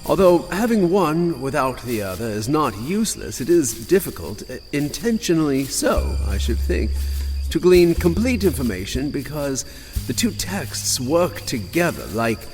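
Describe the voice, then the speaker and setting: A man voicing a hesitant, bookish fantasy cleric who explains things in a halting, musing way for an audiodrama. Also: on the high side, sing-song, darkish tone, pitched above the performer's own voice. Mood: scholarly, musing. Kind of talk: audiodrama character dialogue. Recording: long hall reverb, music underneath.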